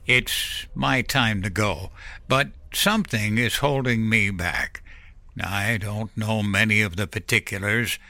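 A man voicing a dying old uncle who talks bluntly and gruffly, in an audiobook story. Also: fairly low pitch, sharp tone, dry recording, voice pushed up, full character voice. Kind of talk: audiobook character voice.

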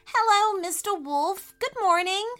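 A woman's voice: extremely high pitch, a little slow, fairly bright tone, soft background music, voice pushed up. A woman voicing a sweet, eager young princess girl with wide-eyed, exclaiming delivery in a children's storybook. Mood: delighted, greeting.

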